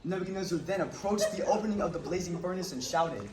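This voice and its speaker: High-voiced man narrating in echo. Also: fast, darkish tone, slight background noise. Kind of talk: dramatized Bible recitation. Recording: echoey hall, far from mic.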